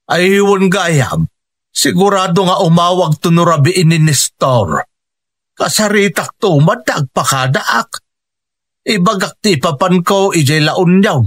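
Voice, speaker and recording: A man's voice: high-pitched, animated, slow pace, fairly bright tone, breathy edge, no room sound, clean studio sound, heavily acted. A man playing an aging, earnest father and husband in a radio drama, with an Ilocano accent and a hesitant, sighing delivery. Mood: reassuring. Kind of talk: emotive radio drama acting.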